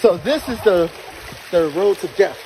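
A man's voice: high-pitched, lively intonation, gravelly. A man chatting casually to viewers as he films a hike, with a playful, exclamatory manner and folksy turns of phrase. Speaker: vlogger filming a hike. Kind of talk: casual trail vlog chat addressed to viewers. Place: outdoors on a canyon trail by a stream. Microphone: handheld phone camera. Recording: noisy outdoor phone audio, rushing stream.

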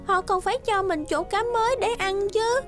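A lazy, childlike swan from a cartoon fairy tale, speaks in a very high voice. The delivery is exclamatory and gushing, over clear background music. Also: female voice, normal speed. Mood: worried. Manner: exclamatory, gushing and self-pitying. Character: lazy pink swan, childlike, gleeful then whiny. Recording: music under the voice.

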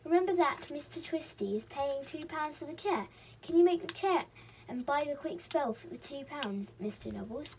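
A woman's voice: high voice, animated, normal speed, darkish tone, slight background noise. A woman voicing a clever, mischievous male pixie in a children's storybook.

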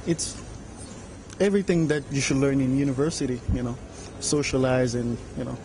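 A man's voice: medium pitch, moderate pace, dark tone, low-quality recording. Young man from cameroon, fluent, fillers, faint noise around. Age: young adult. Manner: fluent, many "you know" fillers. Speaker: student. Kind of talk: casual interview answers. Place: large bright room at a game jam. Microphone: handheld mic held by interviewer.

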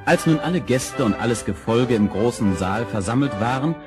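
A man narrating a children's fairy tale as storyteller, with expressive, scripted storybook delivery. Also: medium pitch, moderate pace, loud music behind the voice, natural voice.

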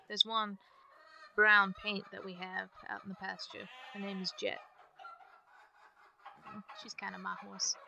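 A woman's voice: medium pitch, creaky, bright, forceful tone. A woman playing an easygoing young ranch hand in an ASMR roleplay, chatting in a folksy way to a newcomer. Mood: wistful. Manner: folksy, drawling phrasing with ain't and gotta. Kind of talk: ASMR roleplay, one-sided chat. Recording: background noise with farm animal ambience.

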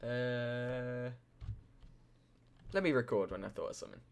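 A man's voice: mid-range voice, a little slow, creaky, smooth. A young man just woken up, rambling casually and drowsily as he comments on his gameplay. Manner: rambling, drowsy, self-mocking. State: just woken up, deep morning voice.

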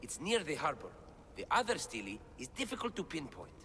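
An anxious scholar from a video game, plaintive and full of self-doubt. The voice is high and bright, swinging widely as it frets. It rings with long reverb. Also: male voice, wildly swooping pitch, brisk, exaggerated voice. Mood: explanatory. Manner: fretful, plaintive, emphatic. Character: anxious, self-doubting male scholar translating ancient relics. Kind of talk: dramatic video game cutscene acting. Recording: long cavernous reverb, clear background noise.

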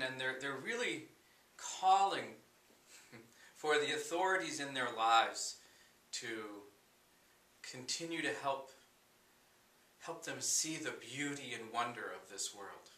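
Slow, bright-voiced man at a chalkboard. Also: fairly high pitch. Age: 40s. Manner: slow, unhurried, wondering, with fillers. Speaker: teacher. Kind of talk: chalkboard math lesson to camera. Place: room with a chalkboard, indoors. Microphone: camera at a distance. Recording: echoey room, voice from a distance.

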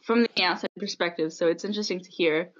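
A woman's voice: fairly low pitch, fast talker, fairly bright tone, muffled recording. A young woman co-hosting a casual video-call interview, reacting with short agreeing replies. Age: young adult. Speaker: co-host.

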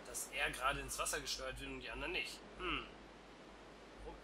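A man's voice: mid-range voice, brisk. A young man with a stuffy cold, chatting casually as a let's play host on a headset. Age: young adult. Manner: chatty and relaxed. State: congested with a cold. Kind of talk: casual game commentary. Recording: noisy home headset recording.

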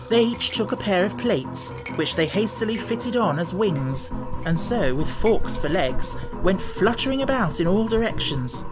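A woman reading a children's classic as an audiobook narrator, in an expressive storytelling manner. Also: fairly low pitch.

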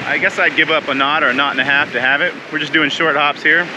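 A man in his forties chatting casually to camera as a sailing vlog host, relaxed and easygoing. He is outdoors on a sailboat at sea, filmed on a close handheld action camera. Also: on the high side, fast talker, noisy background. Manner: relaxed, chatty, laughs easily. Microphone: action camera held close at arm's length.

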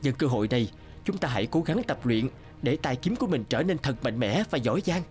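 A man's voice: mid-range voice, lively intonation, fast, darkish tone, soft background music, close to an everyday voice. A man voicing a level-headed young captive swordsman in a cartoon, earnest and persuasive. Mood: determined, hopeful. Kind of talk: dramatic cartoon dubbing.

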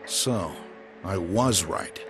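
A man's voice: low voice, extremely slow, over music. An actor playing a stern, protective middle-aged detective father in a video game, firm and blunt, with an American accent. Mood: stern, suspicious. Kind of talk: English video game voice acting.

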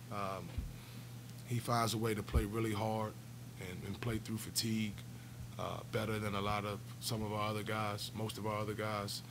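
A man's voice: on the low side, background noise. A man in his forties, a basketball coach answering questions after a game at a table mic in a press room, earnest and often repeating words as he restarts. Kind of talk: postgame press conference answers. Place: press room, seated at a table before a backdrop. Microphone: table stand mic.

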